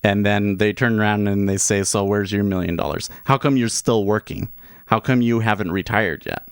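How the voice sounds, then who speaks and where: A man in his thirties talks loosely to his livestream viewers, circling back and restarting his sentences as he goes. His voice sits fairly low, and the sound is dry and close, with no room echo. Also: fairly even intonation, normal speed, smooth. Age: 30s. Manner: rambling, with many restarts and asides. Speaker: livestream host, day trader. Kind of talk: casual livestream commentary.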